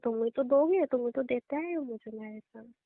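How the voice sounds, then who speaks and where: A woman's voice: a little high, normal speed, no echo, natural voice. Woman playing a reluctant young wife, phone-like audio. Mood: tired, hesitant. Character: tired, reluctant young wife, evasive and easily annoyed. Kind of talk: staged intimate phone-call style conversation. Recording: dry, thin phone-like narrowband audio.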